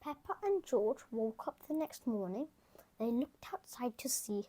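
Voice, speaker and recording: Slow, high-voiced woman reading a children's story. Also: very animated. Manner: slow, gentle, soft-spoken, with some whispered words. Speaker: storybook reader. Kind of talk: children's read-aloud narration.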